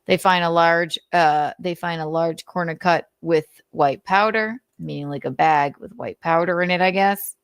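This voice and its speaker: A woman's voice: fairly low pitch, moderate pace, hardly any pauses, smooth, no echo, clean studio sound. A woman reading notes aloud with casual, sardonic commentary, swearing freely and talking conversationally. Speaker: host of a commentary show.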